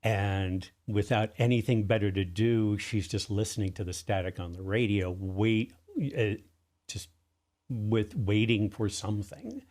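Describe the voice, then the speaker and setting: A man with a fairly low voice talks as a guest in a casual interview. He explains in a halting way, building his point in small pieces. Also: darkish tone. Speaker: interview guest, drama writer and producer.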